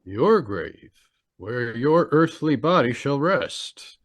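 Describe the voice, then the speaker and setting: A man's voice: mid-range voice, sing-song, dry recording. Man playing solemn afterlife guide, close dry sound. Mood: solemn, stern. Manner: formal, measured, solemn. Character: stern, calm otherworldly guide to the dead. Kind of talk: old-time radio mystery acting.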